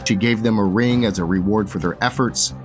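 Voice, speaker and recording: Upbeat low-voiced male game master. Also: a little fast, over music. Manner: upbeat, announcer-like, clear storytelling. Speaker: dungeon master and show host. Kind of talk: podcast intro and recap narration.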